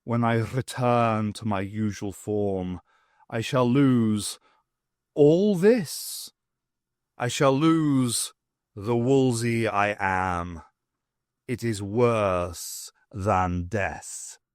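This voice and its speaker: Anguished, proud tomcat in human shape, played by a man, slow, hissing through gritted teeth, audiobook drama. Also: lowish voice, smooth, no room sound, studio quality. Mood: anguished, bitter. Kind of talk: audiobook character voice.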